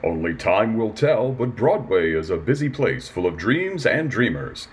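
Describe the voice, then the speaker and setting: Actor as a lively, portentous radio announcer. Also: male voice, fairly low pitch, unhurried. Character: dramatic radio serial announcer. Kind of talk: scripted radio play narration.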